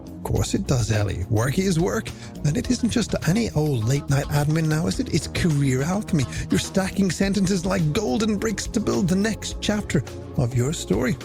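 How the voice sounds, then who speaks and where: Animated Irish male late-night radio host. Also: sits high, moderate pace, creaky. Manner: theatrical, flowery phrasing with rhetorical questions. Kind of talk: polished late-night music radio presenting. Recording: music bed under the voice. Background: music.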